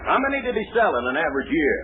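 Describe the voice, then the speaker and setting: A man's voice: a little high, very animated, very fast, narrow, tinny audio. An actor playing a skeptical, put-upon American businessman in a 1940s radio comedy. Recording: noisy band-limited 1940s radio recording.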